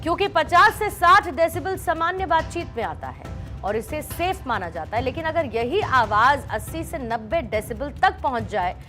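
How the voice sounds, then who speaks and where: A woman's voice: high-pitched, lively intonation, moderate pace, pushed and bright. A woman reading scripted broadcast news as an anchor, with emphatic, presentational delivery. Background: background music under the voice.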